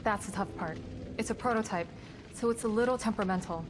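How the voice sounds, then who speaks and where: Woman acting composed game psychologist, mid-pitched voice. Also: fast, noisy background, natural voice. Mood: steady, determined. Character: composed, caring, brave female team psychologist. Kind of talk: dramatic video game acting. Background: music.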